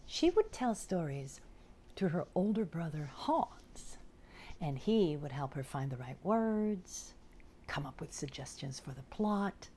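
A woman in her forties presenting and reading to children in a deliberate, expressive, carefully enunciated way. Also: deep voice, slight background noise. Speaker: storytelling presenter. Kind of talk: scripted narration for children, picture-book reading. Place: indoors in front of a curtain backdrop.

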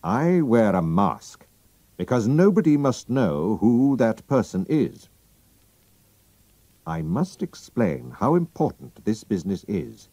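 A man's voice: fairly low pitch, big pitch swings, slow, dark tone, no echo, voice pushed up, heavily acted. A man voicing a proud, anxious king who speaks formally and commandingly. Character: German-accented royal visitor, proud king, anxious.

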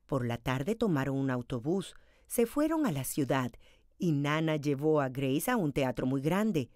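A woman reads a picture book aloud as a storyteller. Her voice sits a little low for a woman but moves up and down with lively expression. The sound is dry, with hardly any room on it. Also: unhurried, no echo. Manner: expressive, dramatized storytelling delivery.

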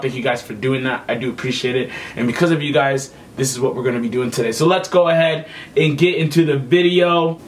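A man's voice: a little high, a little hiss or hum. A young man giving casual reaction commentary as a video host, emphatic and repeating his phrases. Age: young adult. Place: small home room with computer setup.

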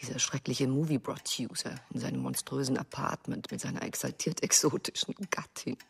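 Genteel, domineering wife for radio drama: low-key gravel, husky, effusive and affected. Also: female voice, fairly even intonation, fairly quick, full character voice. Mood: haughty. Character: genteel, effusive American wife reminiscing, dominating her husband. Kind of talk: German radio drama acting.

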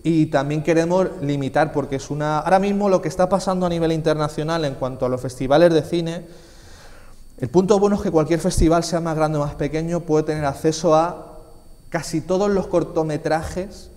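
A young man in his twenties with a Spanish accent from Spain speaks in a fairly high voice. He answers interview questions casually and emphatically, repeating key phrases. The room adds noticeable reverb. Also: brisk, dark tone. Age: 20s. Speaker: interview guest, film festival organiser. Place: small indoor TV studio, seated at a desk.

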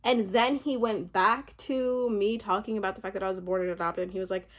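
A young woman, born in Uganda, telling a personal story in a casual, animated way, laughing often and acting out what others said. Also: mid-range voice, brisk. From born in Uganda, raised mostly in the US. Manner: animated, laughs often, acts out quoted speech. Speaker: vlogger.

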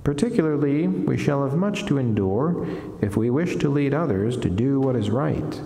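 A middle-aged man, a friar, giving a solemn devotional reading in a measured, deliberate, formal way from a lectern in a reverberant chapel. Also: mid-range voice, animated, gravelly, dark tone. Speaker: friar reading a saint's life and reflection. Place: wood-panelled chapel, indoors. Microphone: lectern gooseneck microphone. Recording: echoey chapel with long reverb.

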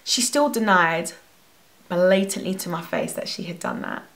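A young woman telling an animated storytime about a hair salon, filmed talking to the camera at home. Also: on the low side, normal speed, a little hiss or hum. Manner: animated, expressive, gesturing a lot. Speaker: hair vlogger. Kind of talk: storytime vlog. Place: bedroom at home. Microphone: camera at face distance.

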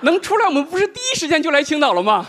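A man in his thirties performing a deadpan stand-up comedy set on a large studio stage, speaking into a handheld microphone. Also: very high-pitched, racing, a little hiss or hum. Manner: dry, deadpan delivery with rhetorical asides. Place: large indoor studio stage with live audience. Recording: live audience laughter.

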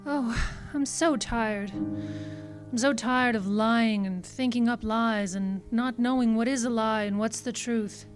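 An actress playing a weary, pleading, deceitful femme fatale in an old-time style radio drama. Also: female voice, a little high, takes it slowly, soft background music.

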